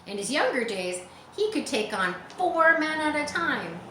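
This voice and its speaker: A woman reading a children's story aloud expressively, pausing to explain words for young listeners. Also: high voice, very animated, sharp tone. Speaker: audiobook reader. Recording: roomy echo, faint background noise.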